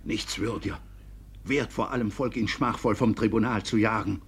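Stern, husky court inspector. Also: male voice, fairly low pitch, normal speed, full character voice. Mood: stern, impatient. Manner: commanding, impatient. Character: stern, authoritative visiting court inspector, a gentleman. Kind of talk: classic stage comedy as radio play.

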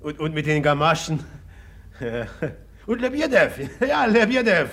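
An actor in a German radio play, playing a brooding, volatile man who speaks haltingly and guardedly, repeating his words. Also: on the high side, big pitch swings, vocal fry, a bit bright, full character voice. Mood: forced amusement.

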